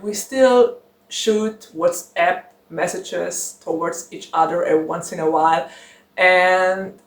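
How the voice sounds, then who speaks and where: Young woman chatting slow and giggly, lots of ums, roomy echo. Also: fairly low pitch. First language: German. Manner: chatty, giggly, rambling with many fillers. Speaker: book channel host. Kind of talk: casual chat to camera.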